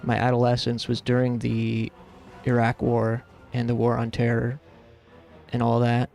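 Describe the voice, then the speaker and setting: A man's voice: medium pitch, completely flat delivery, measured pace, creaky, darkish tone, faint music behind. A man in his thirties from the United States, chatting casually and earnestly with stream viewers, rambling with lots of fillers. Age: 30s.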